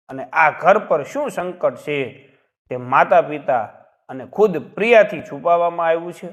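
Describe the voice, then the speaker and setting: A man narrating a scripted dramatic story in a suspenseful, expressive way. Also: on the high side.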